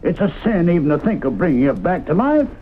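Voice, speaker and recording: A man's voice: fairly high pitch, sing-song, fast talker, slight background noise. An actor playing an indignant, righteous small-town man, scolding in a radio drama. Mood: righteous outrage. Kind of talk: 1970s radio drama acting. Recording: narrow vintage radio broadcast.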